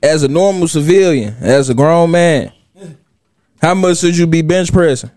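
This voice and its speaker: A man's voice: on the high side, moderate pace, a little mellow, no echo. A man co-hosting a podcast in casual, slangy conversation.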